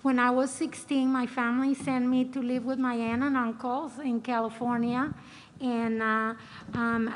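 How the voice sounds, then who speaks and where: A middle-aged woman, a retired Coast Guard lieutenant, reads a short prepared statement. She hesitates often, filling the gaps with small sounds. Her voice sits high. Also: poor audio. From Dominican Republic. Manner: hesitant, many filler sounds. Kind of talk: reading a prepared personal statement.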